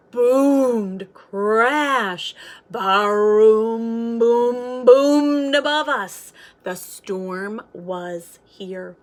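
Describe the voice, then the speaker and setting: A woman reading a children's picture book aloud like a teacher, with expressive, dramatic delivery. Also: medium pitch. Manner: expressive, dramatic sound words and hushed moments.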